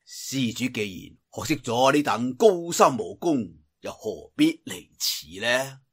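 A man's voice: medium pitch, lively intonation, slow, slightly rough. A man with a Cantonese accent voicing a grave, courteous senior Shaolin monk in a storybook, speaking formally and solemnly. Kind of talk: storybook character voice.

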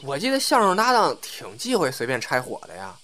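A man's voice: mid-range voice, huge pitch swings, a little fast, sharp tone, a little hiss or hum. A man playing a polite but teasing young newcomer to a comedy troupe in an audio drama. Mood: hesitant, wry.

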